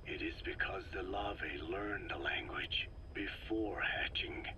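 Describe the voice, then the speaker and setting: An actor playing a frail, soft-spoken scientist in a video game, explaining slowly and formally in a breathy rasp. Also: male voice, deep voice, whispered. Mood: patient, scholarly. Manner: slow, formal, measured, scholarly phrasing. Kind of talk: video game voice acting, recorded interrogation.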